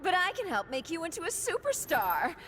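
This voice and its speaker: A woman's voice: very high voice, very animated, brisk, a bit bright, slight background noise, full character voice. A woman playing a sassy young manager type in a video game, coaxing and flippant. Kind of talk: video game cutscene acting.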